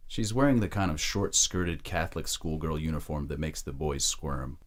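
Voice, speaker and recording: An extremely deep male voice narrates an audio drama. He reads scripted scene descriptions in the present tense, as a storyteller. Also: moderate pace.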